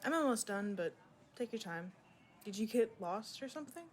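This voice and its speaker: A woman's voice: a little high, lively intonation, moderate pace, a little hiss or hum, lightly acted. A woman playing a blunt, curious young patient in a radio drama, casual and inquisitive. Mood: mildly peeved. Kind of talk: modern radio drama acting.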